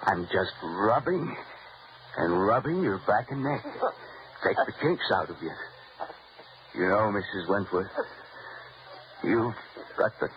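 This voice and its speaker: A man playing a soft-spoken, menacing gardener who turns out to be a killer in an old-time radio drama, calm, coaxing and creepily teasing. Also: fairly low pitch, slow pace, long pauses. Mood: coaxing menace. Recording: dull lo-fi radio recording with hiss.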